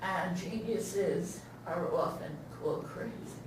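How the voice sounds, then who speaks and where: A woman's voice: lowish voice, takes it slowly, very echoey, noisy background. A woman giving informal spoken feedback as an audience member at a talkback, picked up by a distant mic in a room. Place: indoor room at a staged reading talkback.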